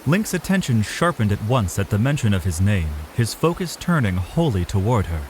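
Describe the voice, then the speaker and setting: A man's voice: fairly low pitch, sing-song, normal speed, darkish tone, a little hiss or hum. A man narrating a scripted fantasy story in a dramatic style.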